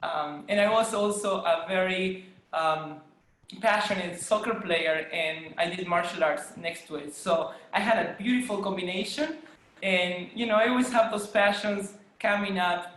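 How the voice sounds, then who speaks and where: A woman from Argentina, a dancer telling her story as a guest in a casual interview, with many ums and soft laughs. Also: lowish voice, a little slow, a little hiss or hum. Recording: echoey room.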